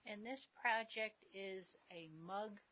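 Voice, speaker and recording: A soft-spoken woman co-hosting a live sewing stream and passing along viewer comments. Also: medium pitch, slow pace, crowd noise. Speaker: co-host reading viewer comments. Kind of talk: casual live-stream chat.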